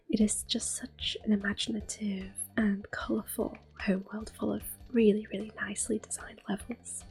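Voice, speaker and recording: A woman softly whispering gentle, unhurried ASMR commentary over a video game, with a breathy, hushed voice. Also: mid-range voice. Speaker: gameplay host. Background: game music.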